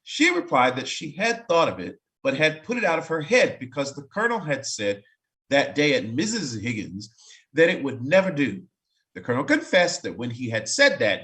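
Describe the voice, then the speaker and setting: Animated, higher-pitched man reading a story aloud. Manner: expressive, wry, breaking off to comment. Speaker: narrator in a staged reading. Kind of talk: dramatic reading aloud with casual asides.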